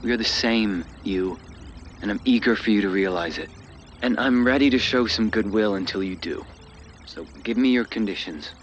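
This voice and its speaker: Man acting low-voiced, smugly courteous powerful suitor. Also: slight background noise, lightly acted. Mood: courteous, self-assured. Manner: formal, measured, condescending, self-assured. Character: smooth, entitled male suitor with menacing politeness, powerful official. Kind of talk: video game cutscene acting.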